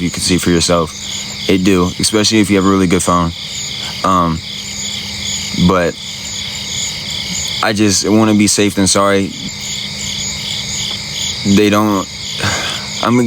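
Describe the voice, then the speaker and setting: A man with a very low voice chats to his viewers in a loose, rambling, slangy way. He is streaming from a phone outdoors at night, with crickets and insects loud behind him. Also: steady tone, normal speed, pauses often, background noise. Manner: laid-back, rambling, slangy. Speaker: livestreamer. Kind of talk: casual livestream chat answering viewers.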